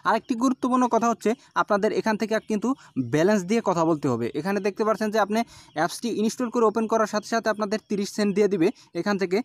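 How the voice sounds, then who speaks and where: A man's voice: high-pitched, dry recording, studio quality. A man narrating an app tutorial as host, explaining step by step in an instructional, repetitive way.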